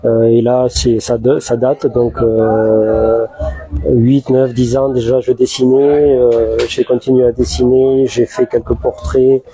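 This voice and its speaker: Halting southern French man. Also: medium pitch, level tone, dark tone, husky, dry recording, low-quality recording. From southern France. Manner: halting, many restarts and fillers. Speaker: tattoo artist guest. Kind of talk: casual interview. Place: tattoo convention hall.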